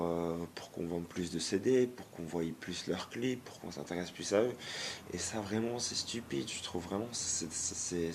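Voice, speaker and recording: Hoarse low-voiced man ranting. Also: darkish tone, a little hiss or hum. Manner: hesitant, many fillers and restarts; blunt, disapproving. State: hoarse from a cold. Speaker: YouTuber. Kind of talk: casual vlog rant.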